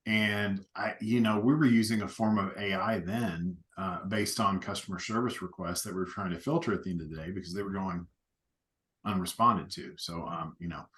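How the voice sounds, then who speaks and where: A man hosting an interview over a video call, sharing a past project in a relaxed way. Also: low voice, moderate pace. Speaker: host. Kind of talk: casual interview chat.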